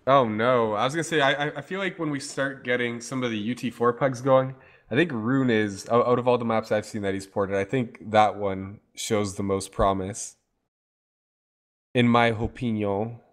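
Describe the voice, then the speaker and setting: A man casting a live online game match, talkative and playful, his voice going hoarse. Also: mid-range voice, normal speed. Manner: talkative, playful, hypes the chat. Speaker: esports caster. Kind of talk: casual live game commentary.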